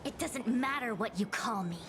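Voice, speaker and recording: A woman's voice: on the high side, animated, normal speed, a little hiss or hum. A woman playing a stern, imperious master diviner in a video game, speaking formally and with command. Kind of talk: video game cutscene acting.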